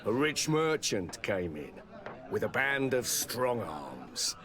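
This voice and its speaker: An actor playing a wary tavern card player in a fantasy game, recounting things in a guarded, plain-spoken way. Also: male voice, mid-range voice, big pitch swings, slow, fairly bright tone. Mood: recalling. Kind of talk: video game dialogue acting.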